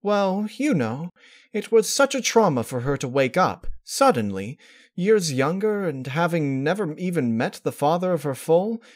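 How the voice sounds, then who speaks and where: A man's voice: fairly high pitch, sing-song, measured pace, pitched above the performer's own voice. A man voicing a refined female cartoon unicorn dressmaker, prim, polite and dramatic in manner. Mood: gentle, chatty.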